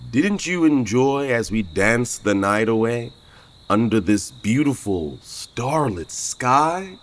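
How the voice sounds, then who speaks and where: Slow, swooping, smug lovestruck suitor. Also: male voice, mid-range voice, very animated. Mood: wooing. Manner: flirtatious, theatrical, self-assured. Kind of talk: audio drama acting.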